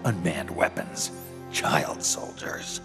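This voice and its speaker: A man playing a gruff, weary, cynical aging soldier in a video game cutscene, terse and sardonic, with a growling, breathy rasp. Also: a bass voice, wildly swooping pitch, slow pace, exaggerated voice. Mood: grim. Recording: loud music behind the voice.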